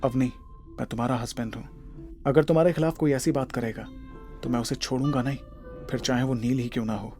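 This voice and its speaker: A man's voice: mid-range voice, steady tone, quick pace, pauses often, warm tone, faint music behind, lightly acted. A man playing a protective, self-assured young husband in an audio drama, speaking earnestly. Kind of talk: Hindi audio drama acting.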